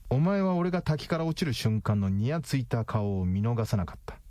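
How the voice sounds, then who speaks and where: An actor playing a cocky, calculating detective in a radio drama, explaining things in a smug, theatrical way. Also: male voice, mid-range voice, sing-song, normal speed, warm tone, no room sound, lightly acted. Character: cocky, calculating male detective, coolly triumphant.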